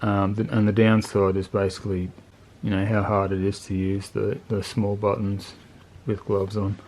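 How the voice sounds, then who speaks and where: A man's voice: lowish voice, little pitch movement, normal speed, darkish tone, low-quality recording. A man giving a casual, unscripted product review in a laid-back, colloquial, plain-spoken way. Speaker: motorcycle video channel host reviewing gear.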